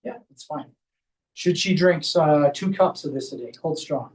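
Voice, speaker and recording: A high-pitched man talks a small group through a hands-on demonstration at a clinic workshop, explaining each step as he goes. His voice is picked up from some distance, with the room around it. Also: normal speed, a little mellow. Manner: explains step by step while guiding a volunteer. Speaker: chiropractor leading a workshop.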